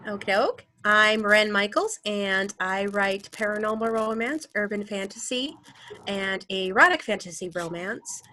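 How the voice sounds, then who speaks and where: A woman's voice: mid-range voice, a little slow, sharp tone, low-quality recording. A woman from Wisconsin speaking as an author on a panel, easygoing with frequent fillers. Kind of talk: casual panel talk. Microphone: video call.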